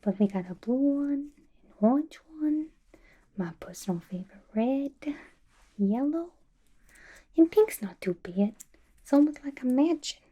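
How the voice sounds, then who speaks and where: A woman voicing a playful, unhinged young villain inmate in a chummy, slangy ASMR roleplay, mostly in a breathy whisper. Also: high-pitched, lively intonation, unhurried, long pauses, warm tone. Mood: playful, chatty.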